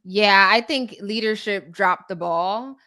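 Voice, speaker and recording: A woman's voice: mid-range voice, takes it slowly, bright, forceful tone. A woman co-hosting a podcast, giving her opinions in a direct, conversational way and often checking in with "right?". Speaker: podcast co-host. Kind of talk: casual opinion discussion.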